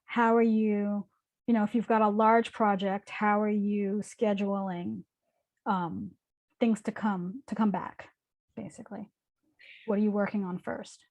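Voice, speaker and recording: A woman's voice: medium pitch, little pitch movement, a little slow, a little mellow, muffled recording. A woman in her forties, originally from New York, answers questions after her lecture as a building conservator in a casual, hesitant way, with many fillers and restarts. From New York, the Bronx.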